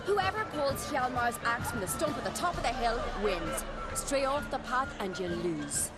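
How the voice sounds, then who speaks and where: A woman's voice: fairly high pitch, lively intonation, moderate pace, a loud crowd, lightly acted. An actress playing a bold, teasing young noblewoman warrior in a fantasy game, brash and direct. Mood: brisk. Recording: loud music and outdoor noise behind.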